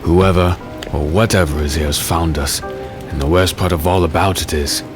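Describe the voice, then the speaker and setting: Man playing a grave, haunted fantasy swordsman, low-voiced. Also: steady tone, over music, close to an everyday voice. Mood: grim, haunted. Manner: grave, earnest, dramatic pauses. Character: grave, haunted swordsman husband, protective and urgent. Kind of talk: dark fantasy audio drama with first-person narration.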